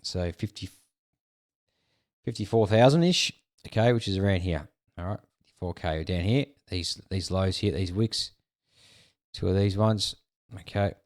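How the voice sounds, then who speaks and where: A young Australian man in his twenties casually talking through market charts, rambling with drawn-out fillers and a frequent "okay". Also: low-pitched, darkish tone. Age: 20s. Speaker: crypto video host.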